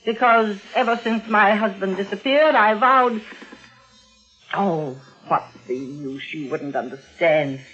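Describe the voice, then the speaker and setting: An actress playing a frightened, clinging widow near sixty in 1940s radio suspense style, melodramatic and pleading. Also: female voice, mid-range voice, animated, takes it slowly, a bit bright. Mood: fretful, clinging. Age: older. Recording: dull vintage radio recording with music.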